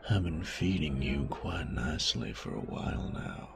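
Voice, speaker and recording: A man playing a menacing, sadistic bandit in an audio roleplay, crude and mocking, with a gravelly, taunting drawl. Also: rumbling low, lively intonation, moderate pace, crowd noise, full character voice. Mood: menacing, seductive. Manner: taunting, drawling, drops to breathy murmurs. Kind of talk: audio roleplay drama acting.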